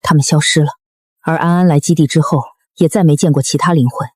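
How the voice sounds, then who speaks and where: A wise elderly woman who leads with cautious authority in an animated drama, as an actress voices her. Her voice is very low, and she reasons aloud in a measured, analytical way. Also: husky, dry recording, clean studio sound. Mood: pondering. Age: older. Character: wise, cautious old woman leading the research. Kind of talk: animated web drama dialogue.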